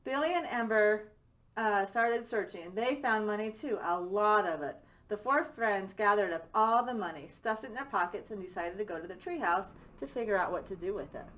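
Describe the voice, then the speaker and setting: A woman reads a children's story aloud as a teacher would. Her voice sits at a middle pitch and has a bright, forward tone. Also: normal speed, low-quality recording.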